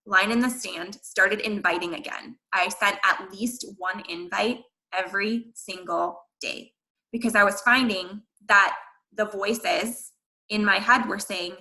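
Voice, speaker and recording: A woman with a bright, forceful voice speaks to her team in an earnest, candid way. She encourages her listeners, with everyday fillers running through her talk. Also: medium pitch, steady tone, a little slow, low-quality recording. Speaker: guest speaker at a team training. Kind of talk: motivational talk to a sales team.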